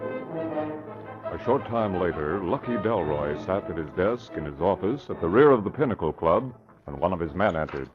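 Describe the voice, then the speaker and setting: A man's voice: sits low, big pitch swings, moderate pace, lo-fi sound. A man narrating an old radio crime serial in scripted 1940s style. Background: brass music.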